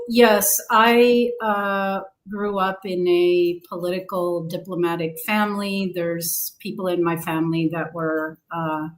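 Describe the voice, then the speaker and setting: Woman interview guest, deliberate and slow, hesitant with ums. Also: fairly low pitch, poor audio. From grew up abroad in several countries. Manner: halting, many fillers. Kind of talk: interview answers.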